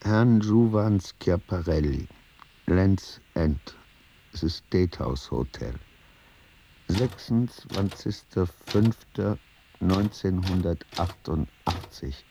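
A man narrating in a radio play, reading texts out in a deliberate, husky voice. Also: low voice, expressive, dark tone, a little hiss or hum, exaggerated voice.

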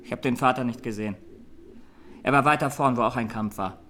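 An actor playing a young Armenian massacre survivor giving halting, sombre testimony in a radio drama. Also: male voice, medium pitch, sharp tone, slight background noise, lightly acted. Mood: grave, halting.

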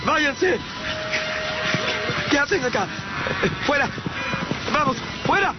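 A man acting an agitated figure in a radio drama, crying out in shrill, swooping bursts. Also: lively intonation, takes it slowly, exaggerated voice. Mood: frantic. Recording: dull sound under loud music.

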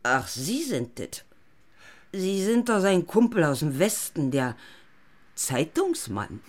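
Blunt Berlin neighbour woman, animated. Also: deep voice, takes it slowly, full character voice. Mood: grumpy, curious. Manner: brusque, colloquial. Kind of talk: radio crime drama acting.

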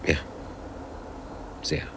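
A man's voice: very slow, a bit bright, a little hiss or hum. An actor in a radio drama playing a gloomy, sardonic older man, terse and dry, often questioning. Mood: resigned.

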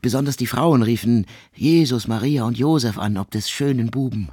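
Man playing a cultured uncle, husky and dry. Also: medium pitch, moderate pace, a little mellow, no room sound, lightly acted. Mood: rapt, admiring. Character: cultured, rapt uncle, an intellectual composer. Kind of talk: radio drama acting.

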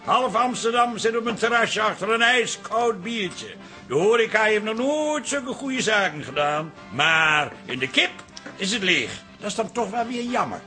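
Radio drama: fed-up grown son, dry sarcasm, forceful edge, slightly high pitch. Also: male voice, lively intonation, measured pace, soft background music, heavily acted. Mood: bitter complaining. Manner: sarcastic, weary grumbling. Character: exasperated, sarcastic adult son running failing pub. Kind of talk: radio play acting.

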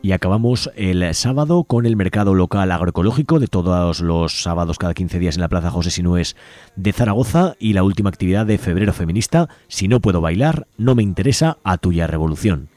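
A man's voice: low-pitched, animated, brisk, dark tone, dry recording. A man with an accent from Spain, hosting a community radio show and reading out event listings. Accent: Spanish from Spain.

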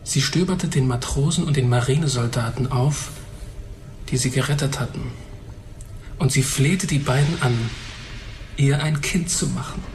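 An actor in a radio drama playing a heavyset young blind man, bitterly candid, telling things gravely and deliberately. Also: mid-range voice, slight background noise, close to an everyday voice.

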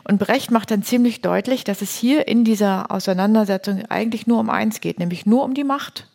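A woman's voice: mid-range voice, a little fast, gravelly, darkish tone. A woman explaining and retelling in an informal, colloquial, plain-spoken way, as a host in conversation.

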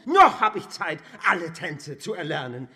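High-pitched, anguished crippled husband. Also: male voice, sing-song, normal speed, noisy background. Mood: defiant. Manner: theatrical, swinging between mockery and lament. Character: bitter crippled husband in a nightshirt, despairing, mocking. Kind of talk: intense German radio play acting.

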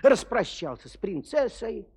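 Smooth, lively fairy-tale storyteller. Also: male voice, high-pitched, a little slow, exaggerated voice. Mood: confiding, playful. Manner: confiding, rhetorical questions. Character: folksy storyteller addressing children. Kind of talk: theatrical fairy-tale narration.

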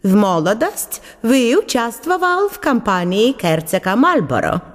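An actress voicing a courteous, gracious German-born empress who speaks with a German accent. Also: female voice, a little high, big pitch swings, a little slow, in a large hall, pitched above the performer's own voice. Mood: gracious, admiring.

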